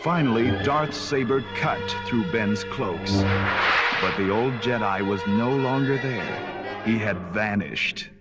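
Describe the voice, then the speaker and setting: A man's voice: lowish voice, takes it slowly. A man narrating a scripted children's adventure story in a dramatic read-along style. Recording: 1979 cassette, loud music underneath.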